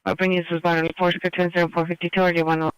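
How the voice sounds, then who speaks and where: A man working as a police radio dispatcher, reading out codes and addresses in a flat, clipped, procedural way over the radio. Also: high-pitched, racing, clear, resonant tone, no echo, poor audio. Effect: police radio transmission.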